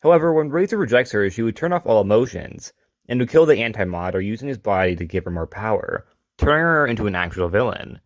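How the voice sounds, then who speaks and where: A man's voice: lowish voice, lively intonation, fast, dry recording, dull audio. A man hosting a retrospective video, reading informal scripted narration. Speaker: host of a character retrospective video series.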